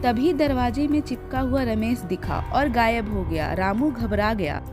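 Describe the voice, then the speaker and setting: A woman's voice: fairly high pitch, measured pace. A woman reading scripted narration for a children's moral story. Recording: music behind the voice.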